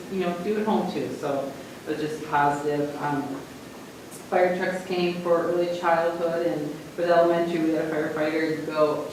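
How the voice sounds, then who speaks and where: A man giving a school principal's report at a board meeting, halting with many fillers and restarts. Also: on the high side. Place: large meeting room. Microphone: distant room mic. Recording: echoey room from far off, noisy.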